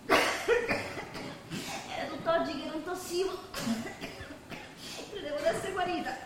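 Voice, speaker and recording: A young actress with a Roman accent playing a gravely ill young woman, tender, fearful and despairing, in a stage drama. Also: extremely high pitch, slow, recorded from a distance. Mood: fearful pleading. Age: young adult. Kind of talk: naturalistic stage drama acting. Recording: very echoey theatre hall, faint noise.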